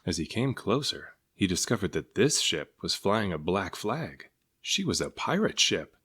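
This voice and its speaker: Man, low pitch, storybook narrator, reading aloud for children. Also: very animated, unhurried, sharp tone, husky. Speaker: storybook reader.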